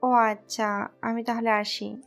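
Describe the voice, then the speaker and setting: A woman's voice: fairly high pitch, flat delivery, takes it slowly, faint music behind. A woman playing a polite middle-aged mother, the neighbourly auntie in an audio story. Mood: calm, polite.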